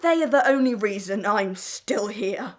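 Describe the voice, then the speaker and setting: A woman's voice: mid-range voice, animated, unhurried, natural voice. A woman playing a bitter, strict farm wife and mother in a wartime audio play. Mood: bitter, hurt.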